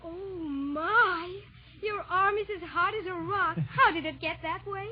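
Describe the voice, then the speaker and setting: A woman's voice: very high voice, measured pace. A woman playing a sweet, coy young woman on a blind date in a 1940s radio comedy, with a demure manner. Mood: coy, polite. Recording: muffled vintage 1940s radio recording.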